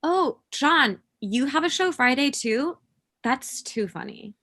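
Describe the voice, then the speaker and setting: A woman's voice: high voice, lively intonation, takes it slowly, a bit bright, exaggerated voice. Woman playing scornful thirty-year-old woman, blunt, dry, cutting, very varied intonation, naturalistic comedy table read. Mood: mocking.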